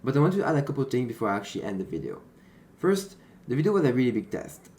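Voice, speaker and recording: A young man with a French accent giving a calm, explanatory voice-over that breaks down a match he played. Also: mid-range voice, quick pace, vocal fry. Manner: explanatory, slightly non-native phrasing. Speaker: gaming content creator. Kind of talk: gameplay commentary voice-over. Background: background music.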